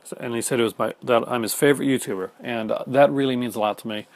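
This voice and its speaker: Fast-talking Canadian man, gravelly voice. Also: mid-range voice, no echo. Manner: fast-talking, many fillers and restarts. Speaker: film collector YouTuber. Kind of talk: casual chat reading viewer comments. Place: home room in front of film shelves.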